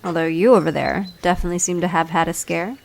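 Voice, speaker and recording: A woman's voice: on the low side, fast, vocal fry. A woman playing a haughty, hostile demigod, child of the underworld god, in an audio drama, speaking in a cold, imperious way. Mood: threatening. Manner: cold, imperious, formal phrasing.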